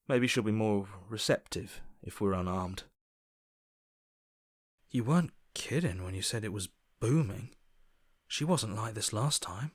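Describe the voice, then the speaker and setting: A man's voice: on the low side, no echo, studio quality. An actor voicing a cautious, earnest heroic man in a video game, reasoning things through with concern. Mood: concerned, steadying. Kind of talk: dramatic video game voice acting.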